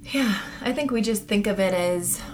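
A woman in her thirties co-hosting a casual talk show, animated and full of questions. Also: mid-range voice, moderate pace, slight background noise. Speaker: podcast co-host. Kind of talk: casual conversational chat.

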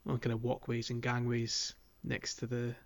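A man hosting a podcast, casually guiding listeners through a video game in a halting, think-aloud way. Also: mid-range voice, monotone, creaky. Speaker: podcast host.

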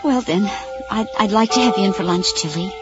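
An actress playing a flustered suburban woman in an audio drama, scolding but soft-hearted, in a natural style. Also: medium pitch, a little fast, dull audio, loud music behind the voice, natural voice. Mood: gentle, concerned.

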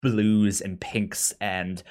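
A man co-hosting a podcast, discussing a film casually in a halting way, often stammering and repeating words. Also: lowish voice, level tone, a little mellow. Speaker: podcast co-host.

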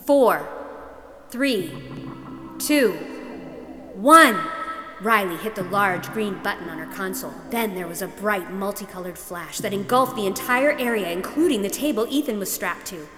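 A woman's voice: medium pitch, fairly quick, hardly any pauses, fairly bright tone. A woman narrator reading a scripted story in a dramatic storytelling style. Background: music.

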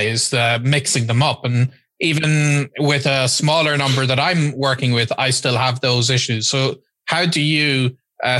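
Man, bright tense tone, asking an interview question. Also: mid-range voice. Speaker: interviewer, host.

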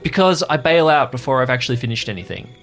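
A man acts out a young guy down on himself in an improvised audio drama. His voice is mid-pitched and forward, with halting, self-pitying delivery and faint music behind. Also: animated, fairly bright tone. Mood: upset, self-blaming. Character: dejected, self-blaming young male worker, casual and defeated.

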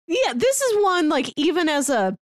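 Animated woman in a dry, close recording. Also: very high voice, moderate pace, no echo. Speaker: podcast co-host. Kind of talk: casual chat.

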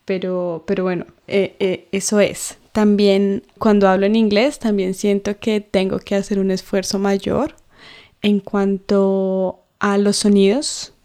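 A Colombian woman in her thirties, co-hosting a casual chat and talking thoughtfully with frequent fillers and soft laughs. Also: mid-range voice, level tone, measured pace, smooth. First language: Spanish. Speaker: co-host.

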